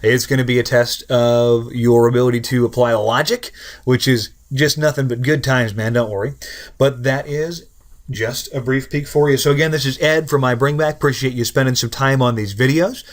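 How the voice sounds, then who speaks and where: A man's voice: medium pitch, fairly even intonation, moderate pace. A man in his twenties hosting a programming lesson, explaining code in a casual, joking, self-deprecating way. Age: 20s. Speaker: programming tutorial host. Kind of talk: screen-recorded coding lesson.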